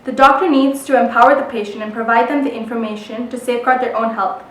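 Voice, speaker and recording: Fast-talking young woman in echoey room. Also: on the high side, steady tone, fairly bright tone, slight background noise. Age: young adult. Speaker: public speaker, medical student. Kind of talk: prepared presentation. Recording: roomy, distant microphone.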